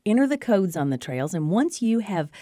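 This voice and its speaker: A woman's voice: mid-range voice, sing-song, normal speed, warm tone, no echo, studio quality. A woman on local radio giving an informative, conversational rundown of community events with emphatic, promotional phrasing.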